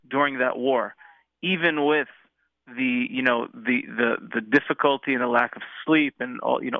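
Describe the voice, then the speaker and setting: A man's voice: mid-range voice, creaky, dry recording. A man in his thirties with an American accent, a guest telling his story in an earnest, reflective way, with many fillers and restarts. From Israel, raised in the United States. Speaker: interview guest, musician. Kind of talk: casual interview storytelling. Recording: tinny phone-line sound.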